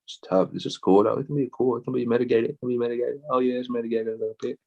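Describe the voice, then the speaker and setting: A man's voice: medium pitch, fairly even intonation, rapid-fire, creaky, lo-fi sound. A man co-hosting a casual astrology chat, laid-back, with frequent laughs and lots of fillers. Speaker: astrology show co-host.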